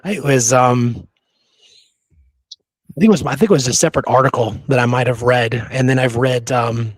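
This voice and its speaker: A man's voice: medium pitch, moderate pace, husky, no echo. A man co-hosting a history podcast in a casual, conversational style.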